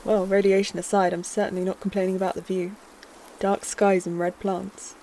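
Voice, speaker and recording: A woman's voice: medium pitch, little pitch movement, moderate pace, mellow, a little hiss or hum, natural voice. A woman playing a wry human newcomer to space in a science-fiction audio drama, casual, dry and understated. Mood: wry, uncertain.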